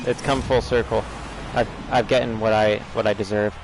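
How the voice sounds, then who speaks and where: Low-voiced American gamer streaming casually. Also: male voice, flat delivery, normal speed, creaky, background noise. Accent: General American. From United States. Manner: rambling, laughs a lot, talks to chat. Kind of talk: casual livestream chatter over gameplay.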